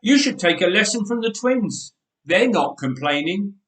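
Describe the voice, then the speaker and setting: A man's voice: high-pitched, expressive, unhurried, fairly bright tone, voice pushed up. Man playing a huffy, scolding aunt. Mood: prim. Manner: huffing, scolding, prim. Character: stern huffy middle-aged aunt. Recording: roomy church echo.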